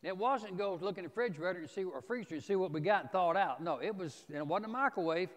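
High-voiced preacher in a reverberant hall. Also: male voice, expressive. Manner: emphatic, folksy, deliberate phrasing with pauses. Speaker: preacher teaching a church Bible class. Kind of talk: expository Bible teaching, reading and paraphrasing scripture. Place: large empty church auditorium, indoors. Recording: reverberant church hall, long echo.

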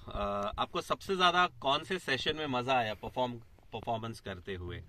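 Casting: male interviewer in his thirties, mid-pitched, very forceful bright tone, dry studio sound. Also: expressive, moderate pace, no room sound. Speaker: interviewer, voice trainer. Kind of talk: interview questions and sign-off. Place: small studio room, indoors.

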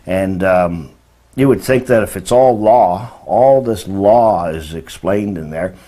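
A man's voice: fairly low pitch, mellow. A man in his sixties teaching religion in an informal, emphatic lecture, often posing rhetorical questions. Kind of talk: informal lecture explaining word meanings. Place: indoor room beside a presentation screen.

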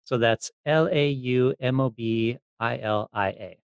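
A man's voice: medium pitch, unhurried, gravelly, clean tone, dry recording, dull audio. A man hosting a podcast in a casual, informal, self-deprecating way, chatting as he interviews. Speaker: podcast host.

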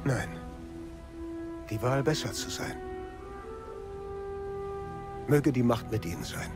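An actor playing a calm, gentle and wise young mystic warrior in a German video game, speaking in a measured way. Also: male voice, medium pitch, loud music behind the voice, lightly acted. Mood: solemn.

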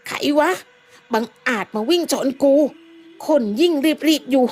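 A woman voicing a rough, cheeky male villager who curses and teases in a fawning way. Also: high voice, normal speed, voice pushed up, lightly acted. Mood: angry cursing.

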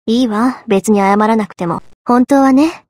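A woman's voice: a little high, measured pace, a little mellow, no echo, studio quality. A woman playing a level-headed, bossy but caring young woman in an anime visual novel, frank, composed and assertive. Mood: composed, firm.

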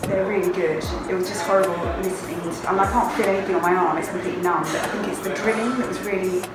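A woman in her forties vlogging candidly to her phone camera from a hospital, talking anxiously and quietly. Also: on the low side, steady tone, fast. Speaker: sailing vlogger. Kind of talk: casual vlog to camera. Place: hospital rooms and corridors, indoors. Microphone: phone camera at arm's length. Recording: roomy sound with loud music behind.

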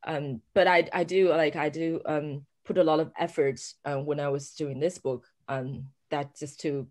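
A woman's voice: deep voice, steady tone, moderate pace. A woman author, a native Chinese speaker, talking in a hesitant, casual way with many fillers and frequent laughs. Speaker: author guest. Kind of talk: casual conversation.

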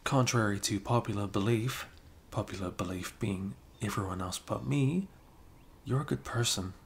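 Male actor as flustered young best friend hiding a crush; low, creaky voice, halting casual delivery for ASMR audio drama. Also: slight background noise. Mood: bashful, tender. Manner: halting, bashful, chuckling, casual bro talk. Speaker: ASMR roleplay performer. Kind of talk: improvised intimate ASMR roleplay.

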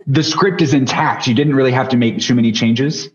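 A man's voice: medium pitch, brisk. A man in his thirties hosting a casual interview over a video call from a room at home. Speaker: podcast host interviewing guests. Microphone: boom-arm microphone on a video call. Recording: slightly dull, roomy online call.

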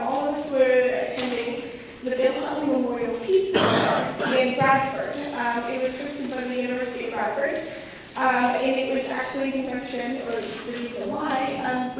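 Scratchy-voiced young American woman in echoey hall. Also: high-pitched. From Kansas, United States. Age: 20s. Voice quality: scratchy from a cold. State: recovering from a cold. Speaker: guest speaker, scholarship recipient. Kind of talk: prepared talk to a club audience. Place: large hard-walled meeting room. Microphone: handheld mic, heard through room from camera. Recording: echoey hall PA from far off, noisy.

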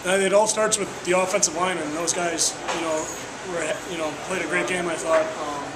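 A young college quarterback answering postgame questions in a measured way, with fillers. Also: male voice, high voice, fairly even intonation, rough recording. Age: young adult. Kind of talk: postgame locker room interview. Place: noisy locker room. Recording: loud crowd noise behind.

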